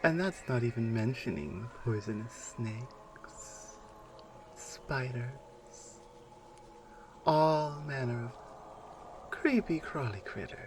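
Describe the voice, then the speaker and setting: A man playing a menacing, taunting non-human warrior captor in an ASMR roleplay, speaking deliberately and with command. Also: medium pitch, noisy background, lightly acted. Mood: smug, menacing. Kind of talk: ASMR roleplay audio drama.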